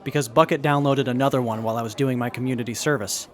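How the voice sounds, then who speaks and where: An actor playing an earnest young tinkerer in a radio drama, lively and explanatory. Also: male voice, mid-range voice, fast talker, close to an everyday voice.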